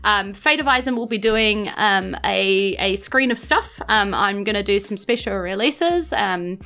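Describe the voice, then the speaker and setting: A woman's voice: mid-range voice, lively intonation, unhurried, pushed and bright. A woman with a New Zealand accent, effusive and gushing as she hosts a casual podcast chat. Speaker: podcast host giving thanks and announcements.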